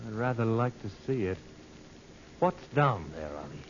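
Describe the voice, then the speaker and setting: An actor playing a breezy, skeptical tourist, offhand and prying, in an old-time radio adventure serial. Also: male voice, fairly low pitch, sing-song, normal speed. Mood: casual prying. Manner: offhand, teasing, probing. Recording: muffled old radio recording, noisy.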